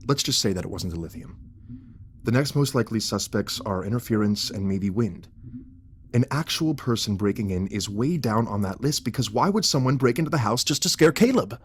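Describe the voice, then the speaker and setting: A man's voice: on the low side, lively intonation, brisk, slight background noise, natural voice. Man acting a skeptical, exasperated young husband. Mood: skeptical, reasoning. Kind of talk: audio drama acting.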